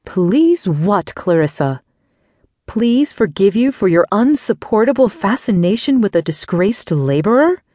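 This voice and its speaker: A woman's voice: mid-range voice, big pitch swings, warm tone, no room sound, studio quality, pitched above the performer's own voice. Woman doing a scornful haughty aunt. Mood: scolding. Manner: slow, disdainful, seething.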